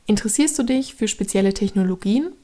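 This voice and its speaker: A woman's voice: mid-range voice, lively intonation, quick pace, warm tone. A woman aged thirty giving scripted explanatory narration as a podcast host, instructing the listener directly in a structured way.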